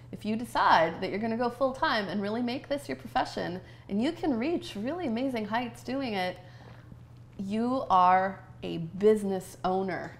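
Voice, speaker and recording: A middle-aged woman business coach, raised in Canada after an early childhood in Romania, talking as an interview guest in an enthusiastic, thoughtful way with frequent fillers. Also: mid-range voice. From Romania, grew up in Canada. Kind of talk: conversational interview.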